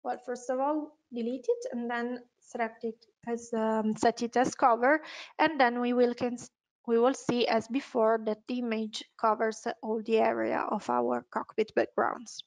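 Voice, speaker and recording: High-voiced Italian woman giving a software tutorial. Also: rough recording. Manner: hesitant, unscripted explaining with self-corrections. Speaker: webinar presenter, software trainer.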